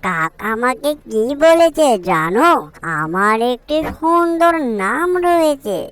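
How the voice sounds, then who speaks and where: A woman's voice: fairly high pitch, a bit bright, full character voice. A woman voicing an earnest, hurt cartoon banana, plaintive and reasoning as it pleads for respect. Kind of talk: cartoon character dialogue.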